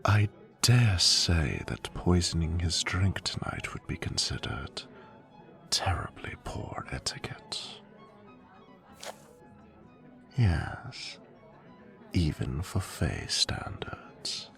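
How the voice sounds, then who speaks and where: A man's voice: low voice, very animated, slow pace, creaky, fairly bright tone, whispered, soft background music, exaggerated voice. A man playing an elegant, dryly witty fae butler in an audio drama, speaking with formal, courtly politeness. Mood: dry, admonishing. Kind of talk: scripted audio roleplay acting.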